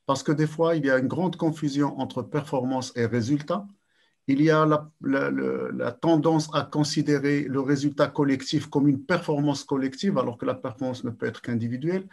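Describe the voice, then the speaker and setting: An older man giving a lecture over a video call, measured and repeating words as he searches for phrasing. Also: mid-range voice, creaky, a little mellow. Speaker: sports science speaker. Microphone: webcam on video call.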